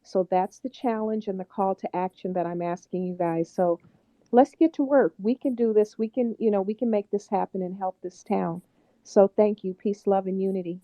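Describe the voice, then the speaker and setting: A woman's voice: mid-range voice, fairly even intonation, moderate pace, dark tone, no echo, rough recording. A woman rallying listeners in an online audio chat room, upbeat and direct as she lays out a plan. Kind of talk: call to action in a live audio chat.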